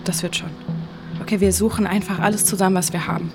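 A confident young woman with a mid-pitched voice, played by an actress in an audio drama. She is practical and reassuring, with clear music playing underneath. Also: fairly even intonation, fast talker, lightly acted. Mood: reassuring, focused. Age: young adult. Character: Leslie, young woman, confident, reassuring, pragmatic best friend. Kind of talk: naturalistic audio drama acting.

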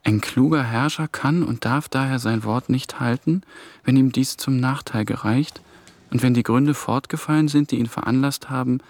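An actor playing a young intern who quietly reads passages aloud from a book. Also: male voice, medium pitch, normal speed, no echo, natural voice. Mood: reading. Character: young male EU intern reading notes. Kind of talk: TV drama acting.

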